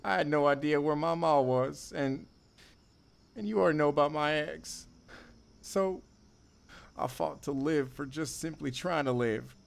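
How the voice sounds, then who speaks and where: An actor playing a remorseful drifter confessing a violent past in audio theater, speaking haltingly and broodingly. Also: male voice, a little high, gravelly. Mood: anguished confession.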